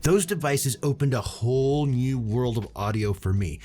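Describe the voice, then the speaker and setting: A man's voice: medium pitch, moderate pace, warm tone. A man hosting a product review, reading scripted narration to his viewers. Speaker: reviewer and channel host. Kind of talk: scripted video narration, audio gear review.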